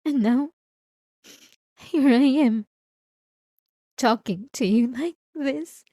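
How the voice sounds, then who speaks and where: A woman playing an obsessive, remorseful wife in an intimate ASMR roleplay, confessing in a halting, tearful way close to the microphone. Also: high voice, warm tone, no room sound. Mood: sorrowful. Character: obsessive, possessive wife, remorseful, delusional and tormented. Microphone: close microphone, dry.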